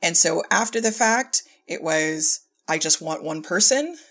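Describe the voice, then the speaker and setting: A woman's voice: on the low side, expressive, a little slow, fairly bright tone. A woman speaking informally as a director telling work anecdotes in an interview, often quoting herself and chuckling. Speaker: podcast guest, audio drama director and filmmaker.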